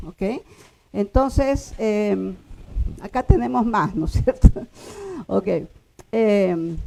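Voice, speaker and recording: A woman giving a linguistics workshop lecture, explaining patiently and often checking in with her listeners, sounding out example words slowly. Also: a little high, warm tone, rough recording. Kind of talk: classroom lecture.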